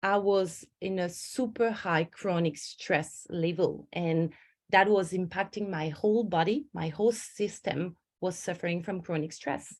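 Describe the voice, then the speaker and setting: A woman's voice: lowish voice, unhurried, darkish tone. A woman, a stress management coach giving an online webinar, speaking in an earnest, personal way and often correcting herself mid-sentence. She speaks into a home computer mic on a video call. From Switzerland.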